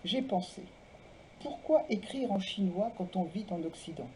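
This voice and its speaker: A woman presenting and reading literary texts aloud in a measured way, phrase by phrase. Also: medium pitch, expressive, mellow, slight background noise. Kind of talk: literary talk, biographical introductions and read-aloud texts.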